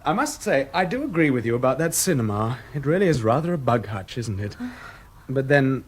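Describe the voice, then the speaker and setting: An actor in a radio drama playing a smug, cynical English ex-soldier, suave and mocking, with an English accent. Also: male voice, medium pitch, big pitch swings, a little fast, breathy edge. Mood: mockingly nostalgic.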